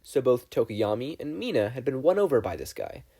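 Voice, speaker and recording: A man reading a story aloud as scripted, expressive narration. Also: medium pitch, brisk, slightly rough. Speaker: audiobook narrator, reader of fan fiction.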